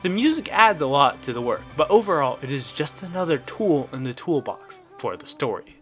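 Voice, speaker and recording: A man reading a scripted review as a video reviewer, in a measured, explanatory and opinionated way. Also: fairly high pitch, big pitch swings, a bit bright, soft background music.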